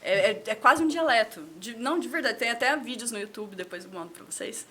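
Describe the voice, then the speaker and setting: A woman's voice: a little high, lively intonation, brisk, a bit bright. A Brazilian woman in her thirties, a guest on a podcast, chatting casually and repeating words as she thinks. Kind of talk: casual chat.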